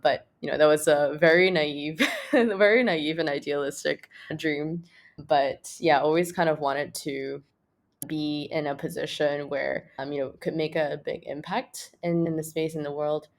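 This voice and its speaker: Low, gravelly-voiced woman guest. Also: unhurried. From Malaysia. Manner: relaxed, many fillers and restarts. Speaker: crypto co-founder, guest. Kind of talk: casual interview chat.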